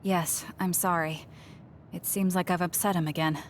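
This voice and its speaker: A young woman playing a composed, blunt and earnest platoon fighter in an anime game dub, with a measured, matter-of-fact delivery. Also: fairly low pitch, fairly even intonation, a little hiss or hum, natural voice. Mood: concerned.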